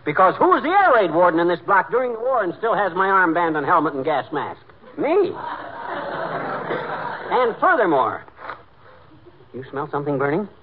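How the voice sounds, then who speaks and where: An actor playing a blustering, self-important American husband in a 1940s radio comedy, boastful, slangy and excitable. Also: male voice, high-pitched, moderate pace, long pauses, crowd noise. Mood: indignant. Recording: muffled, noisy 1940s radio recording.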